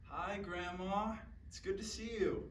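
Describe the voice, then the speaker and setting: A man's voice: high voice, steady tone, measured pace. A man playing a polite, apologetic grown grandson in a staged play reading. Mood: gentle, awkward. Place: performance space, recorded from afar. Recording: echoey distant stage recording, faint noise.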